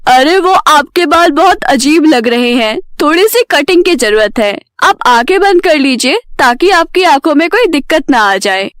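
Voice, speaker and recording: A woman's voice: sits high, animated, moderate pace, few pauses, fairly bright tone, no echo, clean studio sound. A woman voicing a sly, scheming young female rival in a story, coaxing and conniving in manner. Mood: coaxing deceit.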